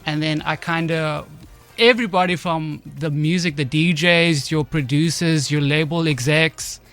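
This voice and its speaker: A young man in his twenties answers questions in a laid-back, soft voice that often trails off. His pitch sits a bit high for a man, and faint music plays behind him. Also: measured pace. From South Africa. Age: 20s. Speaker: guest, DJ and producer. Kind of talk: casual interview answers. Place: radio studio.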